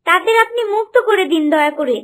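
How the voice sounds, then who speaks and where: A woman's voice: extremely high pitch, fast talker. A woman voicing a small, loyal talking horse in a cartoon, eager and pleading in manner. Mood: delighted, eager. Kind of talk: cartoon story dubbing.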